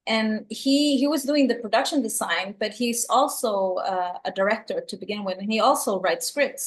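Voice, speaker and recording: A woman filmmaker from El Salvador, middle-aged, talks in an interview in English marked by a Swedish and San Francisco accent. Her voice sits at an ordinary pitch for a woman. She answers earnestly, doubling words as she gathers her thoughts. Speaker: filmmaker guest.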